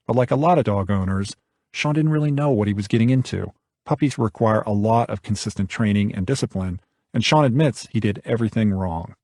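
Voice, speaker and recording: A man's voice: lowish voice, a little mellow, no echo, clean studio sound. A man narrating a nonfiction audiobook from a script.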